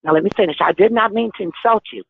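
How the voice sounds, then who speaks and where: A woman in her sixties calling in to a conference call, talking forcefully and insistently. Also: medium pitch, fast, slightly rough, dry recording. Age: 60s. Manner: forceful, insistent, talks over others. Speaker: caller on an activist conference call. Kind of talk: impassioned phone call. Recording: tinny phone line.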